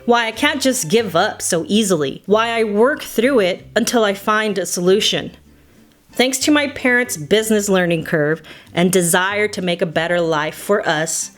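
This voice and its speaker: Woman telling nostalgic stories over music. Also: mid-range voice. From United States. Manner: playful, nostalgic, slipping into Spanish phrases. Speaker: storyteller. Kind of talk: personal scripted storytelling narration.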